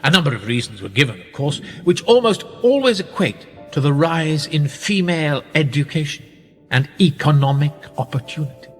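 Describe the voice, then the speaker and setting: Man acting manipulative gentleman with lively, swooping delivery. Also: on the high side, breathy edge. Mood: persuasive. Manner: measured, condescending, with rhetorical tag questions. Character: powerful, manipulative titled gentleman, smooth and quietly menacing. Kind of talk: radio play dialogue. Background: music.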